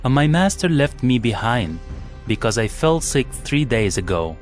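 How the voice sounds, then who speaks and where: A man playing a wary, abandoned young Egyptian servant in a dramatized scripture story, with a deferential, measured way of speaking. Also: mid-range voice, very animated, faint music behind, lightly acted. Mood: weary, confessing. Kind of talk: dramatized scripture audio acting.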